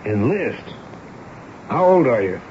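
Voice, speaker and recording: A man's voice: medium pitch, sing-song, slow, darkish tone. An actor playing a stern but fatherly army major in a 1970s radio drama, firing questions with a commanding manner. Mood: questioning. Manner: commanding, interrogating. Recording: dull, noisy old radio broadcast recording.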